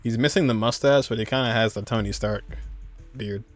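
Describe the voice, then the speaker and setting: A man's voice: mid-range voice, fairly quick. A male gaming streamer reacts casually in offhand, muttered asides. His voice sits in a middle register, with faint music underneath. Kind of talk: casual live reaction commentary.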